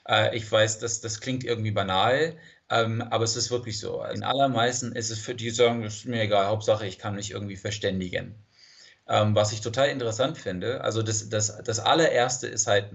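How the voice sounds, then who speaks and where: A man's voice: fairly low pitch, creaky. A man, an English speaker, answering interview questions with many fillers and restarts. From United States. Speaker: interview guest, language teacher. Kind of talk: casual interview conversation. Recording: dull lo-fi sound.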